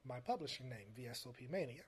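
Casting note: soft-voiced male producer, mid pitch, halting delivery full of ums. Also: animated, slightly rough. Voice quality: soft-spoken. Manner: hesitant, many fillers and restarts. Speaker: music producer guest. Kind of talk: casual interview.

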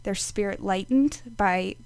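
A woman's voice: medium pitch, measured pace, darkish tone, clear, resonant tone, no echo. A woman, a psychic medium, chatting casually as a podcast guest, laughing often and restarting her sentences. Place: studio.

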